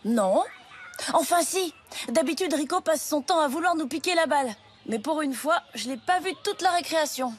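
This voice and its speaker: A woman's voice: sits high, expressive, normal speed. A woman voicing a friendly, easygoing young schoolboy in a French children's cartoon, casual and obliging. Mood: friendly, calm.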